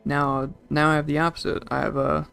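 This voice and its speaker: A man's voice: on the high side, flat delivery, fairly quick, gravelly, a bit bright, soft background music. A young man streaming a game, casually chatting and reading out chat messages in a rambling way. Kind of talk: casual chat with live chat while gaming.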